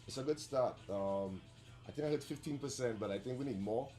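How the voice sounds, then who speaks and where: A man's voice: medium pitch, brisk, over music. A man giving a hesitant, unscripted interview, repeating words. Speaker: radio manager and music producer.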